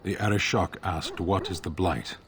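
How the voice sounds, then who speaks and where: An actor playing a stern, impatient, non-human warrior in a video game, speaking in terse, formal, deadpan phrases. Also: male voice, low-pitched, moderate pace, a little hiss or hum. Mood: stoic, curt. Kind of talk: video game character dialogue.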